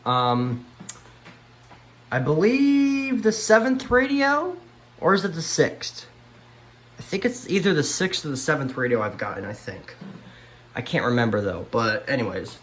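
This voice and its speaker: A man's voice: fairly high pitch, big pitch swings, unhurried, long pauses, fairly bright tone. A man giving a casual, unscripted vlog tour of a collection in a rambling, mildly exasperated way, repeating himself as he goes. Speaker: vlogger showing a weather radio collection. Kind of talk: casual unscripted show-and-tell. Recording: echoey room with faint background noise.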